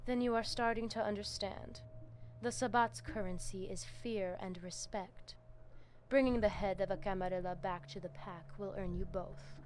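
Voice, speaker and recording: A woman's voice: medium pitch, fairly even intonation, normal speed, close to an everyday voice. A woman as a menacing Spanish-accented vampire priestess. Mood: contemptuous, commanding. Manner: solemn, commanding, peppers speech with Spanish. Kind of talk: video game dramatic dialogue.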